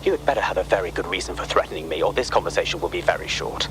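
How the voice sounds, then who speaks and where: A man's voice: sits low, lively intonation, rapid-fire, background noise. An actor playing a cold, scheming male spymaster, who speaks in a clipped, menacing, controlled way.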